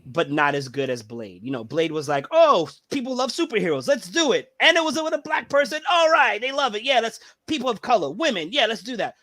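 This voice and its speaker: A man co-hosting a casual fan podcast, giving opinionated, animated rants with acted-out quotes. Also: high voice, a little fast, hardly any pauses, no echo. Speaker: podcast co-host. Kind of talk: casual chat.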